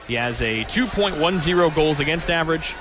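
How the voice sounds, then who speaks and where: A man's voice: a little high, lively intonation, brisk, noisy background. A man doing live radio hockey play-by-play, describing the action in clipped, descriptive fragments. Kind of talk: live sports commentary. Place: hockey arena during a live game.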